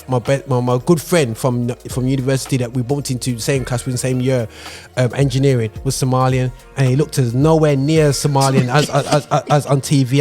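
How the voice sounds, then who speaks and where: A man's voice: medium pitch, darkish tone. A man co-hosting a podcast in a studio, chatting casually and animatedly, peppering his talk with "you know what I'm saying". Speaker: podcast co-host. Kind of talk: casual chat. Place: radio studio.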